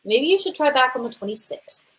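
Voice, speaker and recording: Woman as a prim, high-voiced librarian. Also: expressive, fairly quick, low-quality recording. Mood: guarded, weary. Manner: prim, guarded. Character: guarded, timid young woman librarian, easily alarmed. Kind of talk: live radio play acting.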